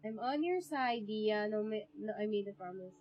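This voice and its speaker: A woman's voice: mid-range voice, animated, normal speed, muffled recording, soft background music. A woman with a Filipino accent giving casual game livestream commentary, switching between two languages. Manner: code-switches between English and Tagalog.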